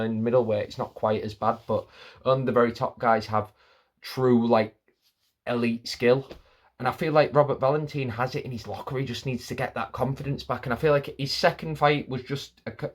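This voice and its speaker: Rambling man giving fight picks. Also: mid-range voice. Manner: rambling, many restarts and fillers like "like". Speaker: MMA fight predictor. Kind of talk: casual fight-pick commentary.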